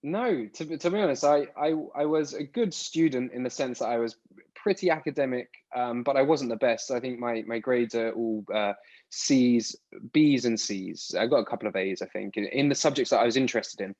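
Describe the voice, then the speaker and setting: A man's voice: medium pitch, a little fast, a little mellow, no echo. British man, rambling guest answers. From United Kingdom. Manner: rambling, restarts words often. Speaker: podcast guest. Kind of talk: casual interview answers. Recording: dull lo-fi dry sound.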